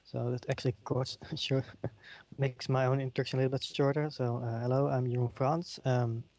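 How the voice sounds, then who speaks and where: Man, dutch accent, middle-aged, keynote speaker, halting delivery with fillers, mid-pitched voice. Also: level tone, darkish tone. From Netherlands. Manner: halting, many ums and uhs. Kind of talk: conference keynote in a virtual world.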